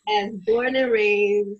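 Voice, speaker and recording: Husky woman with a New York accent. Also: medium pitch, steady tone, drawn-out words, dull audio. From the Bronx, New York. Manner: laughing, easygoing. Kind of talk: casual group video chat.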